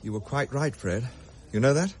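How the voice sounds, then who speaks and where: A man's voice: medium pitch, lively intonation, normal speed, a little mellow, close to an everyday voice. Man doing a haunted young army officer in a radio play, voice moves around a lot, faint noise behind. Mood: shaken, confiding. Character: shaken young army captain, haunted, confiding in friend. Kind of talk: period audio drama acting.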